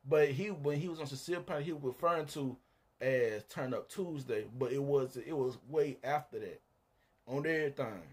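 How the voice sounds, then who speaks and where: A man with a husky voice chatting casually in a live-stream panel, rambling as he recounts events. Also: medium pitch, moderate pace, a little mellow. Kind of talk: casual chat.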